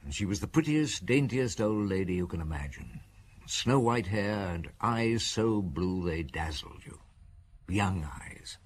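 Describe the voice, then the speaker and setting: An actor playing a seasoned, genial middle-aged police inspector in a radio drama, conversational, wry and musing. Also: male voice, low voice, expressive, a little slow, a little hiss or hum. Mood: reminiscing.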